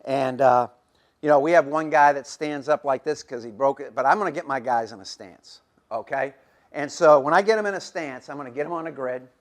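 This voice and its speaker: A man's voice: mid-range voice, brisk. A middle-aged man giving a football coaching clinic, folksy and repetitive, demonstrating drills as he talks while losing his voice.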